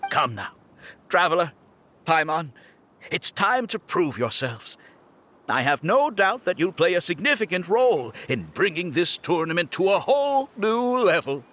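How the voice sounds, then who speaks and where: A man's voice: high-pitched, sing-song, a little slow, fairly bright tone, full character voice. A man voicing a suave, scheming game villain with a grandiose, polished and condescending manner. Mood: grand admiration. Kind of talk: video game voice acting.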